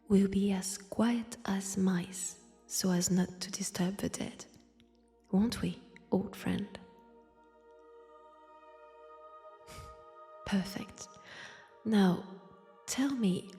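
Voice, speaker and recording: A woman with a French accent voicing an ancient, teasing vampire who poses as a nun, in a hushed, intimate roleplay drama. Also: medium pitch, vocal fry. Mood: teasing, conspiratorial. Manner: slow, teasing, hushed, dropping into whispers. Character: ancient, powerful female vampire posing as nun, teasing, sardonic. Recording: long church-like reverb.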